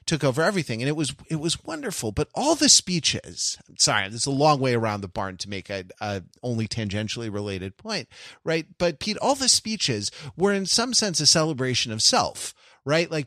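A man's voice: medium pitch, fairly bright tone, no echo, studio quality. A man from Los Angeles co-hosting a podcast, talking in a rambling, digressive way and correcting himself as he goes. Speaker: podcast co-host. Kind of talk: casual chat.

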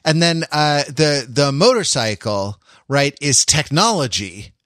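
Male panelist, medium pitch, dry studio-like sound, halting delivery with a wry edge. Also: expressive, fairly bright tone, dry recording. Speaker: podcast panelist. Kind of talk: casual chat.

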